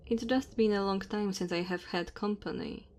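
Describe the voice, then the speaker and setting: A woman's voice: medium pitch, lightly acted. A woman voicing a lonely, caring dragon in an intimate, tender ASMR roleplay. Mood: apologetic, gentle. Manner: slow, tender, breathy murmuring. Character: dominant but caring, lonely female dragon. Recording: steady ambient fire and cave noise. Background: crackling fire ambience.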